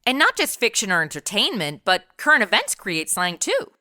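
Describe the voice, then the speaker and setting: A woman's voice: medium pitch, wildly swooping pitch, normal speed, bright, forceful tone, no room sound, clean studio sound. A woman hosting a podcast, asking curious questions to prompt her co-host. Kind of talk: scripted interview questions.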